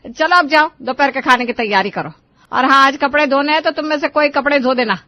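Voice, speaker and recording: Woman voicing a nagging stingy mother-in-law, higher-pitched. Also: fairly quick, bright, forceful tone, no room sound, muffled recording. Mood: bossy, complaining. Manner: nagging, dismissive, bossy. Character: stingy, scolding older Indian mother-in-law. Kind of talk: Hindi cartoon story voice acting.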